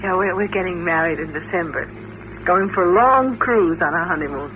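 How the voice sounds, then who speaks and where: A woman's voice: on the low side, moderate pace, a bit bright, dull audio, lightly acted. An actress in a 1950s radio drama playing a naive young female chorus dancer. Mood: chatty, open. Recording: noisy, band-limited vintage radio recording.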